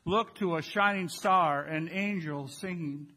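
A man's voice: a little high, a little slow, bright, forceful tone, husky. An older man with an American accent speaking as a pastor, leading a church service and addressing the congregation. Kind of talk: church announcements and liturgy to a congregation. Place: large church sanctuary with congregation.

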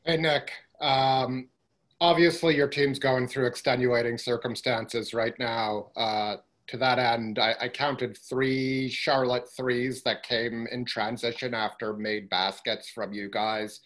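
Reporter guy asking a coach detailed questions at a presser, mid-pitched, steady. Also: slow.

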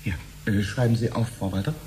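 Man acting middle-aged civil servant, officious, fussy, lowish pitch, seventies radio play, faint background noise. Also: steady tone, fast talker, dark tone, close to an everyday voice. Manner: officious, bureaucratic, hesitant fillers. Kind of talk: 1970s German radio drama acting. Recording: slightly noisy old radio drama recording.

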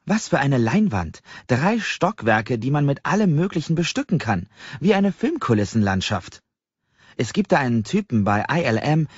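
Man acting an eager, high-pitched young climbing fan. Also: sing-song, normal speed, slightly rough, no echo, highs cut off. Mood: excited. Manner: eager, emphatic. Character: enthusiastic young male climbing fanatic, earnest and chatty.

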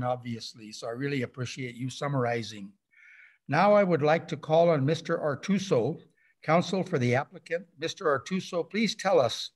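A man with a slightly high-pitched voice chairs a formal public hearing as mayor. He is courteous and procedural as he moves business along over a video call.

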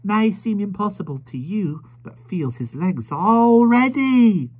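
A man's voice: sits high, wildly swooping pitch, measured pace, dark tone, husky, highs cut off, pitched above the performer's own voice, full character voice. A man doing a breathless, shrieking young mother. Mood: eager. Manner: shrieking short sentences.

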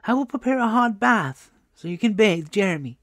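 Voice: mid-range voice, lively intonation, normal speed, a bit bright, no room sound, clean studio sound, voice pushed up. A narrator voicing a kindly, chatty old grandmother in an audiobook, motherly and emphatic. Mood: reassuring.

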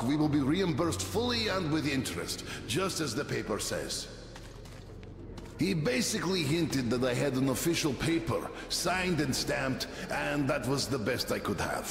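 A man voicing a meek, cheated trader in a video game, deferential and resigned. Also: on the high side. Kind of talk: dramatic video game dialogue. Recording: echoey hall with clear background noise.

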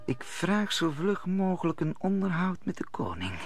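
A man's voice: sits high, sing-song, normal speed, soft background music, full character voice. A man playing a scheming, authoritative cardinal in a radio play, formal and commanding.

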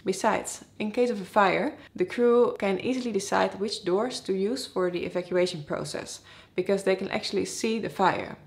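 A woman's voice: medium pitch, normal speed, gravelly. A woman in her twenties from the Netherlands with a Dutch accent, presenting a scripted explainer to camera as a vlogger. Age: 20s. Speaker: vlogger, airline pilot.

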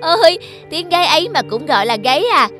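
A woman's voice: high voice, expressive, fast talker, loud music behind the voice. A woman voicing boastful, quarrelsome roosters in a children's cartoon, with a theatrical, bickering delivery.

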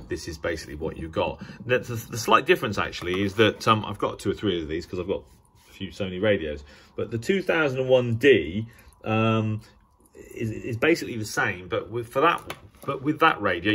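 A British man giving a casual, unscripted hobbyist demonstration, speaking haltingly and often restarting his sentences. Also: on the low side, a bit bright, slight background noise. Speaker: radio hobbyist presenting a video. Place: indoors at a table.

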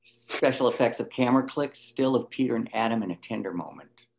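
A man's voice: medium pitch, a little fast, vocal fry, poor audio. A man reading stage directions as scripted narration for a drama.

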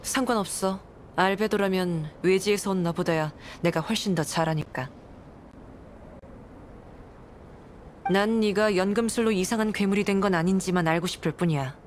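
An actress playing a suspicious young nun in an anime game, cool, blunt and curt. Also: female voice, medium pitch, fairly quick, slight background noise, lightly acted. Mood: cold, suspicious. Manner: curt, detached, dry. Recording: clean studio voice over background music.

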